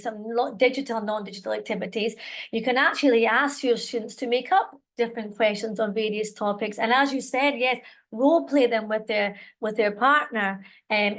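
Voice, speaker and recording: A middle-aged woman with a Scottish accent leads a live online session for teachers. Her voice sits a little high, and her tone is chatty and encouraging. She keeps checking in with her listeners, heard through a computer mic on a video call. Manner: chatty, encouraging, lots of "all right" and "okay" check-ins. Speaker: webinar presenter and teacher trainer.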